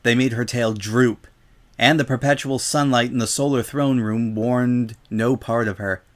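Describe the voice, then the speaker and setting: Guy narrating a story, medium pitch, dramatic reading of scripted prose. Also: fairly even intonation, a little slow.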